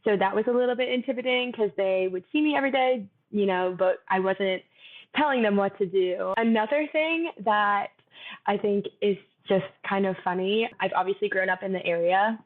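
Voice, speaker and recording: Young woman coach, kinda quiet voice, laughs a lot, sounds like over a phone line. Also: mid-range voice, moderate pace. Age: young adult. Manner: soft-spoken, thoughtful, laughs easily. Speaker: fitness coach. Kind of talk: casual interview talk.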